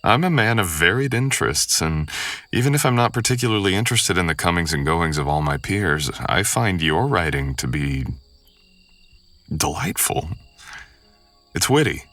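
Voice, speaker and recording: An extremely low, bright-edged male voice from an actor plays a mysterious, wealthy lord of the manor in a boyfriend ASMR roleplay. He is suave and possessive, with courtly, old-fashioned manners. Music plays behind him. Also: lively intonation, moderate pace. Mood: suave, charming.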